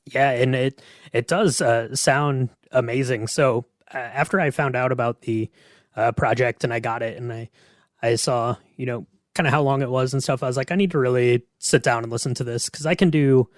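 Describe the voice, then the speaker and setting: A man's voice: medium pitch, moderate pace, dry recording. Man hosting, close dry sound. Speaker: podcast host. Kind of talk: casual interview chat.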